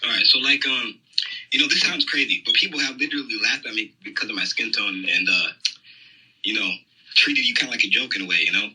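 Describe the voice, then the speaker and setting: A man's voice: medium pitch, fast talker, bright, forceful tone, husky, poor audio. A young American man calling in from his phone, talking hesitantly with many fillers. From United States. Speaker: caller. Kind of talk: phone-in conversation.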